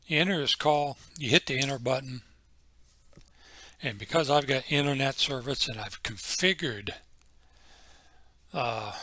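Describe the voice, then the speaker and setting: A man giving an informal, unscripted software walkthrough as a tutorial presenter, explaining things methodically step by step. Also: medium pitch, no room sound. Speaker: tutorial presenter, amateur radio hobbyist.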